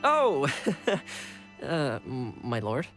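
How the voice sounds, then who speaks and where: A woman's voice: very deep voice, huge pitch swings, drawn-out words, fairly bright tone, faint music behind, exaggerated voice. A woman voicing a deferential, long-suffering retainer in a video game, polite and dryly understated. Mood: flustered.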